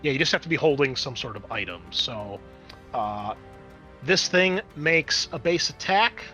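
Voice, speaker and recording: Bright-voiced man running a tabletop game. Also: mid-range voice, animated, measured pace, soft background music. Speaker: game master.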